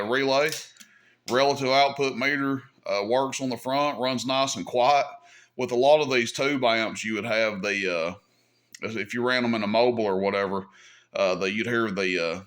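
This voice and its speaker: A man's voice: medium pitch, fairly bright tone. Folksy man showing off gear. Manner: folksy, easygoing, thinking aloud. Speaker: host of a CB radio hobby channel. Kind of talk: casual hands-on equipment demonstration.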